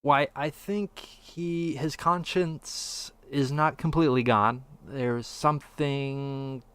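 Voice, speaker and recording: A man's voice: mid-range voice, steady tone, takes it slowly, a bit bright, smooth, no room sound. A man hosting a podcast, chatting casually and offering commentary into a streaming microphone. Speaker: podcast host.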